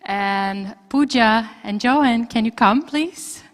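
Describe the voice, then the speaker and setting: A woman hosting a conference, introducing speakers in a relaxed manner. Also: fairly high pitch, slow, background noise. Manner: warm, relaxed emcee. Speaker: event host. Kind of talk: emcee introductions. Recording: long hall reverb.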